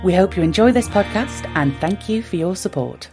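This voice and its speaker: A woman reading a scripted podcast introduction in a measured, formal way. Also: on the low side, animated, mellow. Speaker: podcast announcer. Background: guitar music.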